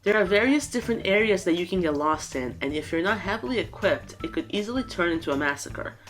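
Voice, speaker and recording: A woman's voice: fairly low pitch, animated, quick pace, faint music behind. A woman with a nasal, congested voice from a cold, giving an earnest, scripted game ranking. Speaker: gaming YouTuber.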